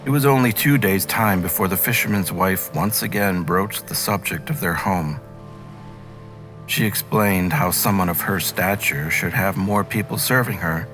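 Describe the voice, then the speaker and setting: A man narrates a scripted fairy tale as a read-aloud storyteller. His voice sits low, and music plays clearly behind it. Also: normal speed, a bit bright.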